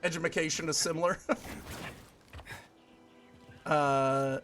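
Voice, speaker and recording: Brief: man in his 40s, a bit higher-pitched, loose rambling streamer banter, faint music underneath. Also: fairly even intonation, fairly bright tone. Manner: chatty, rambling, quick to laugh. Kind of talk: casual live-stream chat while gaming.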